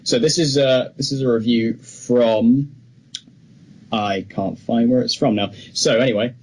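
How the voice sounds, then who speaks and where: A man with a very husky, breathy voice at an ordinary pitch, co-hosting a casual podcast. He talks in an opinionated, conversational way, putting weight on his views. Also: a little slow, a little mellow. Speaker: podcast co-host. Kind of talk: casual film news chat.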